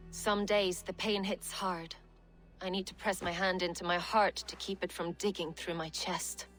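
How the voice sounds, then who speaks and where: A woman's voice: on the low side, flat delivery, moderate pace, a bit bright, faint music behind, lightly acted. Actress as wry, brash Viking smuggler woman. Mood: wry, aching. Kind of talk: video game cutscene dialogue.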